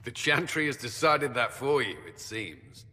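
A man's voice: mid-range voice, animated, measured pace, bright, forceful tone, long reverb tail. An actor playing an earnest male military commander who speaks firmly and directly.

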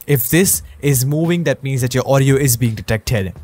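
A man's voice: mid-range voice, normal speed, darkish tone, husky. A man hosting a scripted tutorial and review as a YouTube narrator. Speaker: YouTube host. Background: faint music.

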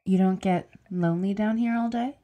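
A woman's voice: medium pitch, moderate pace, dark tone, close to an everyday voice. An actress playing a bitter, wry woman kept alive as a severed head in an audio drama, questioning and plaintive. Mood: wry, probing.

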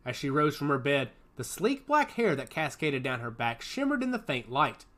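A man narrating a science fiction audiobook in an expressive storytelling manner. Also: on the high side, normal speed.

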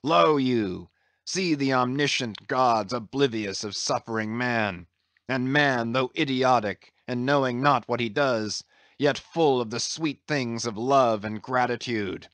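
Man acting old whaling captain, brooding, grand archaic delivery, unhurried, dry studio sound, storybook drama. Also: medium pitch, fairly bright tone, no room sound. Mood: grand, impassioned. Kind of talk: dramatised classic sea novel reading.

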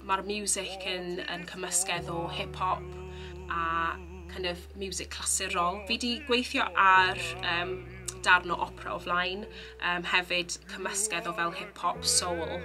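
A woman's voice: lowish voice, little pitch movement, measured pace, over music. A young woman with a Welsh accent, a composer talking about her work in a studio, chatty, with many filler sounds. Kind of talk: interview. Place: recording studio.

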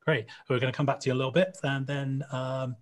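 A man moderating a live panel discussion over a video call, chatty and quick to laugh. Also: medium pitch, flat delivery. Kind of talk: casual panel hosting.

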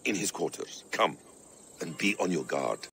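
An actor playing a calm, wary warrior who speaks in a measured, formal way in a video game. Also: male voice, low voice, creaky, slight background noise, heavily acted. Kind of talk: dramatic video game acting.

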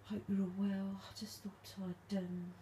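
A woman vlogging at night from her dark bedroom, speaking softly and calling out to spirits, her voice a little stuffed up from hay fever. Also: mid-range voice, level tone, a little slow, slight background noise. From England. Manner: hushed, soft-spoken, calling out to spirits. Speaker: paranormal vlogger. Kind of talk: quiet late-night ghost hunting vlog.

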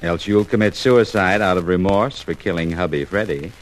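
An actor playing a slick, mocking, commanding blackmailer in a 1950s radio drama. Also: male voice, sits low, moderate pace, close to an everyday voice. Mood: cold threat. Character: menacing male blackmailer holding a gun. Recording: noisy 1950s radio recording.

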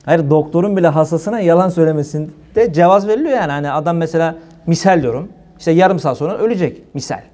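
A man gives an informal religious sermon in a colloquial, animated way, asking rhetorical questions. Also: a little high, fast talker, few pauses. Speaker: preacher. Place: small room indoors.

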